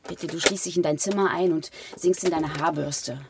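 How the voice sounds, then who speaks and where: Gravelly, nagging middle-aged mother. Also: sits low, steady tone, moderate pace, very noisy. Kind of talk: radio drama acting.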